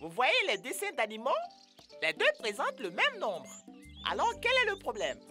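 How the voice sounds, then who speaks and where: A woman voicing a brisk, bossy cartoon teacher in a dubbed educational show, didactic and easily exasperated. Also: fairly high pitch, huge pitch swings, pushed and bright, soft background music, heavily acted. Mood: impatient, explaining.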